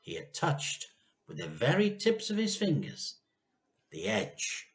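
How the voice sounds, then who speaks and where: A man reading a children's story aloud with expressive, theatrical narration and dramatic pauses. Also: fairly high pitch.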